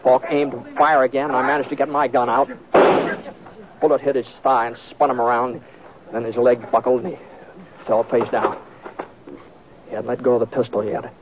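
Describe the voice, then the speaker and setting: A man's voice: mid-range voice, flat delivery, moderate pace, pauses often, background noise, close to an everyday voice. A man acting a folksy cowboy on tinny radio. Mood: tense storytelling. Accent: American. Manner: folksy, hesitant, plainspoken. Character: easygoing cowboy lawman narrator, plainspoken and folksy. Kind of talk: old-time radio western narration. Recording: tinny vintage radio with music.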